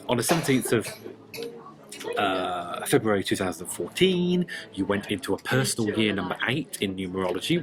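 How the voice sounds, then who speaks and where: A man with a fairly low voice gives an explanatory tarot reading. He speaks deliberately, leaning on key words and pausing between phrases. Clear chatter of people sits behind him. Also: rough recording. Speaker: tarot and numerology reader.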